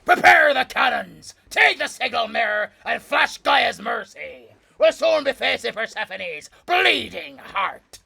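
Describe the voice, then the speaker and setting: Man acting a sharp, gravelly, commanding ship's captain. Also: extremely high pitch, sing-song, measured pace, slight background noise, full character voice. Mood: commanding, fierce. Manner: urgent, commanding, theatrical. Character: commanding, battle-hardened ship's captain barking orders. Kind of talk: nautical audio drama acting.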